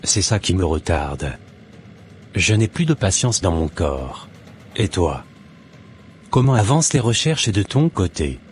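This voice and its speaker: A man's voice: deep voice, sing-song, a little slow, pauses often. A man voicing a scheming would-be false pastor in a French cartoon. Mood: impatient. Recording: noisy ambience behind the voice.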